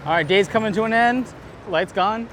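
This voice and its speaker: A man's voice: sits high, normal speed. A man hosting a casual street interview outdoors, asking questions in an informal, bantering way. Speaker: interviewer, show host. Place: outdoors on a busy city street. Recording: noisy outdoor city street with traffic.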